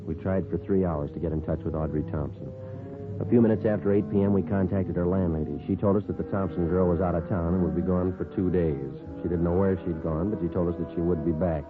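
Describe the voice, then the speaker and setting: A man acts out a terse detective narrating a 1950s radio crime show. His voice sits low and moves quickly, with flat, clipped delivery. The sound is a muffled old broadcast with music around it. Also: natural voice. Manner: deadpan, clipped. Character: brisk, terse male police detective narrating his case. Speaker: lead actor and narrator. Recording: dull vintage radio recording.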